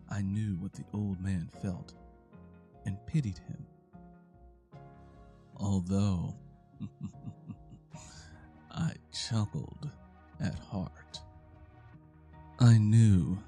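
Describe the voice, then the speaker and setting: Man, theatrical horror narration, very slow, dark mellow tone, deliberate pauses, music bed. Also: low-pitched. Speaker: narrator performing a horror story. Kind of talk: dramatic scripted narration in the first person.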